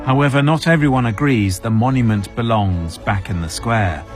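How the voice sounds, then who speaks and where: A man reporting as a news correspondent in a measured, scripted narration. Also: mid-range voice, over music.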